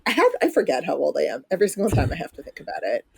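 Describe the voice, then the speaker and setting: A woman's voice: low-pitched, huge pitch swings, racing, vocal fry, darkish tone, slight background noise. A woman in her thirties hosting a podcast, chatting casually, laughing often and swearing now and then. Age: 30s. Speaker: podcast host. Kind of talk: casual chat.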